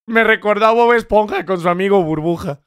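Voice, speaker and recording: A man's voice: high voice, brisk, loud music behind the voice. A Mexican man streaming, reacting to clips in joking, crude casual commentary.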